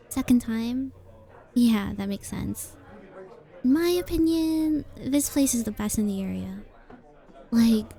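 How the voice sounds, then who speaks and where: Woman acting as super shy sweet cafe girl, soft n hesitant, kinda high mellow voice, asmr roleplay. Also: gravelly. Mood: friendly, shy. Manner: shy, hesitant, softly earnest, sometimes dropping to a whisper. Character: very shy, sweet young female coffee shop regular who writes.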